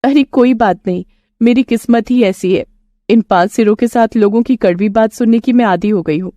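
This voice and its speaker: A woman's voice: fairly high pitch, normal speed, warm tone, no echo, studio quality, close to an everyday voice. A woman voicing a kind, tearful five-headed young village woman, earnest and pleading, in a storybook tale. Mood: sad, resigned.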